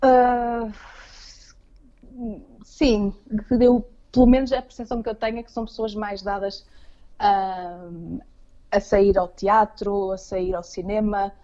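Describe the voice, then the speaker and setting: A woman's voice: medium pitch, little pitch movement, slow, long pauses, warm tone, highs cut off. A woman with a Portuguese accent talking casually as an interview guest, often hesitating and repeating words as she searches for phrasing. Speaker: interview guest living abroad.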